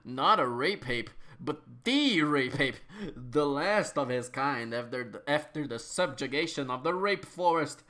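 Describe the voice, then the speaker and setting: A woman's voice: very deep voice, lively intonation, unhurried, fairly bright tone. A woman narrating absurd comic fiction as a dramatic reading, in a mock-serious manner. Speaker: narrator, reader.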